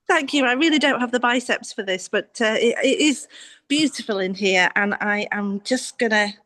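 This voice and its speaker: A woman giving unscripted interview answers as an arts project representative, halting as she thinks aloud. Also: on the high side, a bit bright. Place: exhibition space, live video call.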